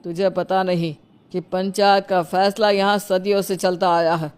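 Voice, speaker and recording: A woman's voice: on the low side, level tone, normal speed, darkish tone, a little hiss or hum, lightly acted. Woman acting stern, domineering village council chief. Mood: scornful. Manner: scolding, authoritative.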